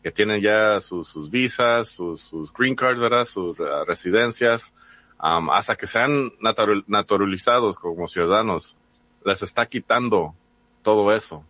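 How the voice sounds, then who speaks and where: Low-voiced guy with a mexican accent, lots of ums and restarts, dry close sound. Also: measured pace, pushed and bright, no room sound. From United States. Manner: hesitant, many restarts and fillers. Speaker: reporter. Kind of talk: interview answers.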